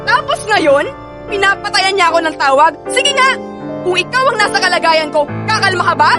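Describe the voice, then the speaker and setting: High-pitched, emotional Filipino pregnant woman, music behind. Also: expressive, fairly quick. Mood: shocked, angry. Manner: emotional, insistent, repeats words and pleads. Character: pregnant young woman, betrayed by her partner, distraught and pleading. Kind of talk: Filipino radio drama acting. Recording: dramatic music bed under the voice.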